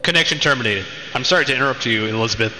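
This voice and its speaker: A man's voice: medium pitch, very fast, very noisy. A man making mock announcements over an intercom in a game.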